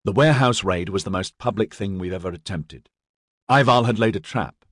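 A troubled young squad leader, voiced by a male narrator, speaks with strained earnestness and some defensiveness. The voice is husky and closely recorded without room sound. It belongs to a fantasy audiobook. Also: on the low side, a little fast, no room sound, studio quality, lightly acted. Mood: insistent.

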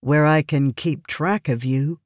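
A woman's voice: low voice, unhurried, darkish tone, no echo, clean studio sound. A woman voicing an earnest, protective nobleman with a concerned manner in an audiobook. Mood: earnest, reassuring. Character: earnest, honorable, protective young lord. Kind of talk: audiobook character dialogue.

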